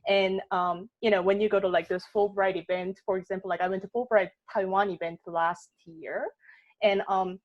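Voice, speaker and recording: A woman's voice: medium pitch, flat delivery, moderate pace, lo-fi sound. Chatty woman hosting a casual discussion. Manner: chatty, many fillers, laughs often. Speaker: workshop moderator. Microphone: video call.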